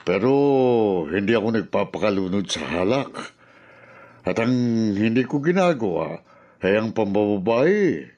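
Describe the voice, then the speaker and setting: Low-voiced middle-aged neighbor man, animated. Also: a little slow, pauses often, lo-fi sound, heavily acted. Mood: sympathetic, regretful. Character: middle-aged male neighbor, plainspoken drinker with scruples. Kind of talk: Filipino radio drama acting.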